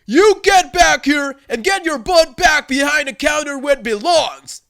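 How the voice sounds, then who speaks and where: A man's voice: very high voice, a little slow, creaky, fairly bright tone, pitched above the performer's own voice, full character voice. A man voicing a bossy, furious male store buyer who blusters and barks demands in a narrated online story.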